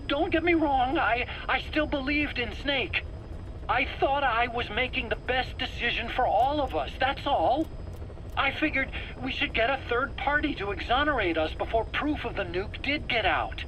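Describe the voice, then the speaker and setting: A self-justifying scientist in a dramatic video game. His voice sits very high for a man and pleads defensively as he answers his interrogator. There is faint noise in the background. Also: expressive, moderate pace, sharp tone, heavily acted, low-quality recording. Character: defensive, whining male weapons scientist under interrogation.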